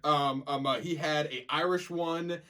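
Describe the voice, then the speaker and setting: A young man chatting as a podcast co-host, voice sitting a touch high for a man. He rambles through his stories, stumbling over restarts and piling up fillers before he gets to the point. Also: steady tone, unhurried, pushed and bright. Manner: rambling storyteller, many restarts and stammered fillers. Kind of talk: casual chat.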